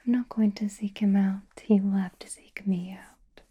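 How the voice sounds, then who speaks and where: A woman playing a seductive, possessive female vampire in an ASMR roleplay, teasing and doting in a soft, breathy whisper. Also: medium pitch, fairly even intonation, muffled recording, close to an everyday voice. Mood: smug, possessive. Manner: teasing, doting, slow and deliberate.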